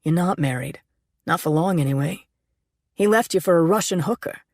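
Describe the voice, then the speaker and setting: A woman's voice: fairly low pitch, moderate pace, no room sound, studio quality. A woman narrator voicing a possessive, volatile young man who talks in a sullen, accusing way.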